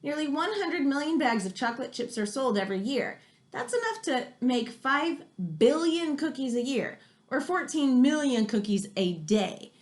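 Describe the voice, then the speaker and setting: A woman's voice: high voice, animated, normal speed. A woman reading a nonfiction book aloud for children, chatty and exclamatory, with casual asides.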